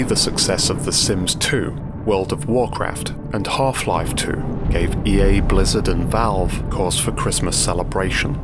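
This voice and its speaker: Male documentary narrator, low register, reading a prepared script over prominent music.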